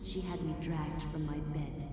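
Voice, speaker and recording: A woman voicing a dead, cursed woman in a video game cutscene, speaking slowly and gravely in hushed tones. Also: low voice, flat delivery. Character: dead cursed woman, mutilated lover, solemn. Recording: echoey, distant, game music behind.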